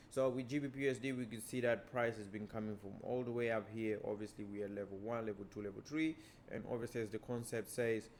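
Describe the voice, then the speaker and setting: A fairly low-pitched man whose first language is Portuguese talks viewers through price charts in a loose, casual lesson. He keeps it relaxed and keeps returning to the same stock phrases. A faint hum of ambient noise sits under his voice. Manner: relaxed, repeats stock phrases like "obviously" and "let us dive straight to the charts". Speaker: trading educator.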